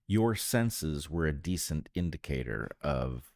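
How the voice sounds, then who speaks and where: A man co-hosting a podcast, explaining his ideas conversationally in deliberate, measured phrases with pauses. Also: very deep voice, sing-song, darkish tone, no room sound. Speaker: podcast co-host, biologist.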